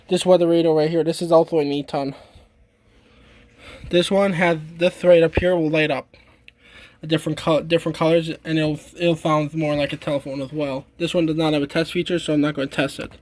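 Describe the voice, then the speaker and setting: A man giving a casual, halting, informal show-and-tell demonstration as a hobbyist. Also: a little high, a little mellow. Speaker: hobbyist demonstrating a radio collection.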